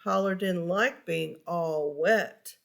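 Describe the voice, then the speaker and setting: A woman reading a children's storybook aloud with expressive narration and deliberate, animated emphasis on key words. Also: fairly low pitch, gravelly. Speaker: storybook reader.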